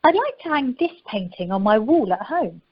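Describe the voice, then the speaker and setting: A woman's voice: a little high, sing-song, moderate pace, slightly rough, no echo. A woman playing a mischievous, impulsive, art-mad young bride in an amateur radio play over the telephone. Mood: scheming. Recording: muffled telephone conference line.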